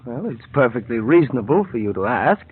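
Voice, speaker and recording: An old radio recording with a narrow, tinny sound. a courteous doctor of science, husky and swooping in pitch. His phrasing is formal and a little hesitant. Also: big pitch swings, fairly quick. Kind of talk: 1940s American radio acting.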